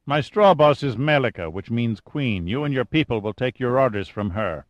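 A man voicing a calm, cold middle-aged male mine boss who threatens in a matter-of-fact way. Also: mid-range voice, no echo, highs cut off, natural voice. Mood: cool, controlled. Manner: measured, matter-of-fact menace.

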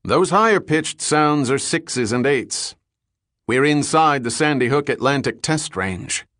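Guy doing a blunt navy captain for an audiobook, raised pitch, emphatic, barking out explanations like he's in command, dry sound. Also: lively intonation, measured pace, a bit bright, dry recording. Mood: assured, explanatory. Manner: emphatic, instructing, declamatory. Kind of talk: dramatic audiobook character reading.

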